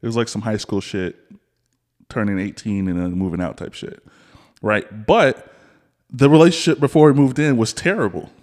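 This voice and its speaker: A man's voice: lowish voice, normal speed, darkish tone. A man in his thirties, a podcast host, talking frankly and laughing in casual chat. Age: 30s.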